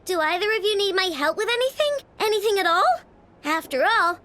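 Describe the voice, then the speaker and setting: A woman's voice: very high-pitched, lively intonation, a little fast, bright, forceful tone, exaggerated voice. A woman voicing a perky, boastful young girl inventor in a video game, with a childlike, casual way of talking. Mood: chatty, eager. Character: excitable, snack-loving young girl inventor, proud household head. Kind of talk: animated video game voice acting. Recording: music behind the voice.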